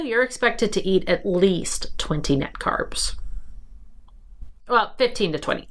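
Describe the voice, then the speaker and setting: A woman in her thirties, raspy after the flu, explaining diet basics in a chatty, casual way with many fillers and restarts. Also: mid-range voice, a bit bright. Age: 30s. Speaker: vlogger. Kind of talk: casual how-to talk to camera.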